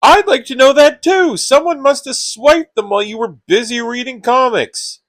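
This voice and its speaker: A man's voice: a little high, very animated, normal speed, a bit bright, no echo, studio quality. An actor playing a sensible, exasperated young man who complains at his friend in a comedy radio play. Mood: alarmed, angry. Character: exasperated, sensible young male best friend named Stu.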